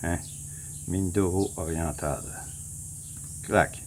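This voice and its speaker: A man with a Québécois accent, casually walking viewers through a map on his screen, rambling, repeating himself and clearing his throat often. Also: deep voice, steady tone, very slow, a little hiss or hum. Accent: Québécois French. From Quebec. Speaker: vlogger. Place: home in the Philippines.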